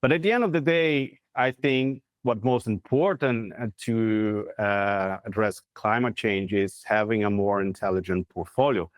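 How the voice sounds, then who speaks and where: A man in his forties from Brazil with a Brazilian Portuguese accent, speaking English in a video call interview, halting and searching for words with many fillers. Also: lowish voice, dry recording. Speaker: guest, corporate real estate head. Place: plain indoor room. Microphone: lapel mic on video call.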